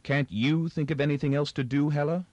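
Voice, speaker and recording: Man as a poised young woman, raised pitch. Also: fairly even intonation, brisk, darkish tone, dry recording, muffled recording, lightly acted. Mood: cool, pointed. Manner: light, indifferent, pointed. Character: spirited, composed young woman with cool poise.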